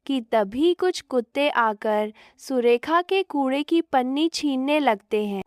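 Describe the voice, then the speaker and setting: Lady reading a moral story, high voice, takes it slow, narrator style. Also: no echo, clean studio sound.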